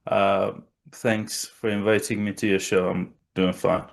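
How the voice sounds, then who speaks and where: Slow-paced man from Iran, analysing geopolitics. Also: lowish voice, little pitch movement, gravelly. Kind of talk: interview analysis.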